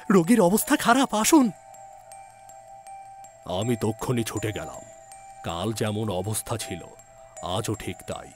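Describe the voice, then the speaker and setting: A man narrating a scripted story in a deliberate, suspenseful, dramatic style. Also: fairly low pitch, darkish tone.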